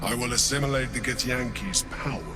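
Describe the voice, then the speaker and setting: An actor playing a grave, resolute mind flayer in a fantasy game, measured and commanding. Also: male voice, mid-range voice, over loud music, lightly acted. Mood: cold resolve.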